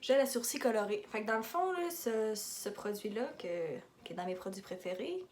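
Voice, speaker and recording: A woman's voice: medium pitch, expressive, moderate pace, darkish tone, a little hiss or hum. A young woman with a Québécois French accent talking casually through her everyday makeup routine, rambling into asides and mixing in English beauty terms. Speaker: makeup vlogger.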